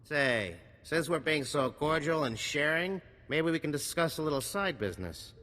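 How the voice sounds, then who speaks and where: Sharp, bright wisecracking arms dealer. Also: male voice, a little high, lively intonation, moderate pace, big-room sound. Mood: conspiratorial, wheedling. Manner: slick, sardonic salesman patter. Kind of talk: video game cutscene acting.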